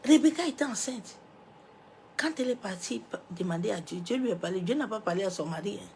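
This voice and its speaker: A woman pastor with a cold delivers a sermon at a mid-range pitch. Her voice swells into loud, emphatic declarations, then falls away to soft, almost whispered asides. A faint hiss of noise sits under the recording. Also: lively intonation, brisk. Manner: preaching with rhetorical asides and interjections. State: has a cold, coughing.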